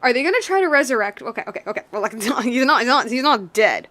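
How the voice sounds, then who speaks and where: Higher-voiced woman streaming a game. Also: animated, fast talker, pushed and bright. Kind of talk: casual live reactions while playing. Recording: game music faintly behind.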